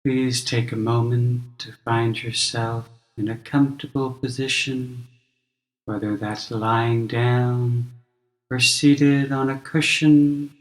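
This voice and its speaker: A male meditation teacher guides a practice at a very slow pace. His delivery is gentle and measured, with long pauses between instructions. His mid-pitched voice sits in a clearly roomy, reverberant sound. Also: flat delivery.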